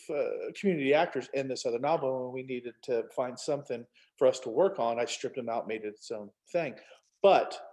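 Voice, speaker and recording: A man's voice: mid-range voice, a little mellow. A man speaking casually as a guest author, telling anecdotes and often repeating words as he starts.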